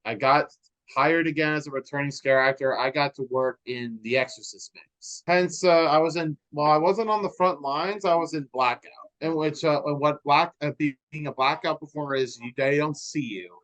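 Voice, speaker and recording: A man's voice: a little high, moderate pace, fairly bright tone, no room sound, low-quality recording. Chatty man in his twenties, dry sound. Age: 20s. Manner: enthusiastic, with restarts and fillers. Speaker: interviewee. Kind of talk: casual interview answers.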